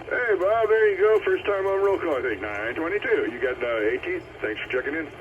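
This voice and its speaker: A man's voice: fairly high pitch, lively intonation, fairly quick. Tinny CB radio man running roll call. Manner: repetitive, procedural calling out of numbers. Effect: CB radio transmission. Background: radio static and noise.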